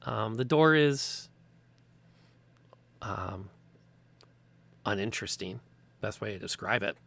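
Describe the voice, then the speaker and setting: A male game master describing scenes for his players, unhurried and full of small hesitations. His voice is gravelly and moves a lot in pitch. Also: no echo. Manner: relaxed, hesitant, lots of ums. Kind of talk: narrating a tabletop game.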